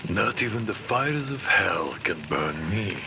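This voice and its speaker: A man voicing a defiant, grandiose undead severed head in a horror audio drama, slow and portentous. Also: fairly low pitch, lively intonation, gravelly, background noise. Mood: defiant, menacing.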